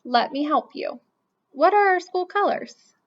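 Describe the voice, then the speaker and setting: A woman voicing a patient young boy in a storybook, gently coaxing his little brother. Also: high-pitched, lively intonation, measured pace, pitched above the performer's own voice. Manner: coaxing, encouraging. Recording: dull lo-fi recording.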